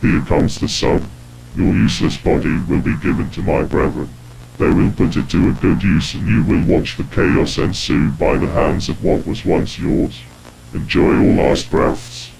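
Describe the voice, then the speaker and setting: An actor playing a monstrous, grandiose villain in an analog horror story, with a guttural, gravelly voice and slow, menacing proclamations, on damaged-sounding footage audio. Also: male voice, low voice, full character voice. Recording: noisy hissy distorted footage audio.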